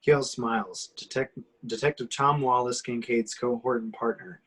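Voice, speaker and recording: Husky man narrating a screenplay. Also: medium pitch, moderate pace, fairly bright tone, poor audio. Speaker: action-description reader. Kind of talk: scripted narration of a screenplay, amateur table read.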